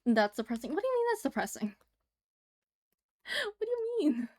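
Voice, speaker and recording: Woman, livestreamer, casual drawing-stream chat, giggly, chatty, slightly high voice. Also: big pitch swings, normal speed.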